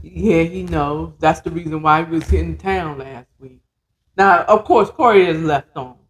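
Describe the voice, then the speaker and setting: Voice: mid-range voice, a little slow. A podcast host casually retelling a story with dramatic, conversational emphasis, repeated words and blunt, slangy, profane commentary.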